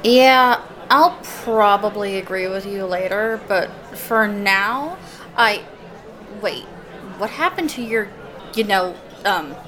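A woman's voice: medium pitch, animated, slow pace, pushed and bright, a faint crowd. Brash, mouthy hard-drinking woman. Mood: drunk, defiant. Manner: informal, defiant, foul-mouthed. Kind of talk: modern audio drama acting.

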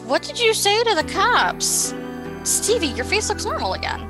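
A woman's voice: very high voice, very animated, normal speed, music in the background. An actress playing a brash, defiant, foul-mouthed woman who likes a drink, in an audio drama. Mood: agitated, urgent. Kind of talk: modern audio drama acting.

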